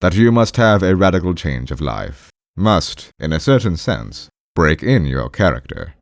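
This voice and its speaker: A man's voice: low-pitched, big pitch swings, normal speed, dry recording, clean studio sound. A man voicing a pompous, brusque doctor in an audiobook, ponderous and emphatic, with hems and haws. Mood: insistent. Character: pompous, brusque male doctor lecturing his patient. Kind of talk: audiobook character voice.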